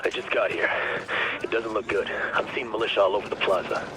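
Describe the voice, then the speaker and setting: An actor playing an alert field agent scouting and reporting in during a game cutscene. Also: male voice, lowish voice, steady tone, fairly quick, lightly acted, rough recording. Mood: urgent. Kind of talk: dramatic game cutscene acting.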